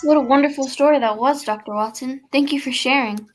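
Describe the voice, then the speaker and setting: Woman radio hostess, polite n formal scripted announcing, kinda high voice. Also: a little fast, faint music behind.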